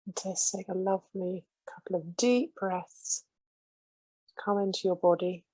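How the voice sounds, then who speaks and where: A woman gently guides listeners through a meditation in a slow, soothing way. Her voice is mellow and slightly breathy, with very little rise and fall. The sound is close and dry. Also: medium pitch, no echo, poor audio.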